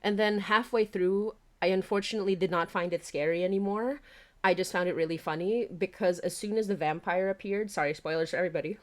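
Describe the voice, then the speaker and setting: A woman with a slightly low voice hosts a casual livestream. She is exclamatory and giggly, chatting freely and reading out comments as the creator of a podcast. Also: fast. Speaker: podcast creator and stream host.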